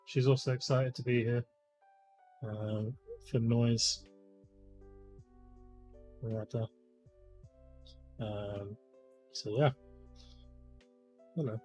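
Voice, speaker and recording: Guy in his 30s rambling on stream, bit croaky, lots of ums, quiet music in the back. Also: medium pitch. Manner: rambling, chatty, many fillers, greets viewers by name. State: slightly croaky voice. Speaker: livestream host. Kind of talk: casual live-stream chat. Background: faint lo-fi music.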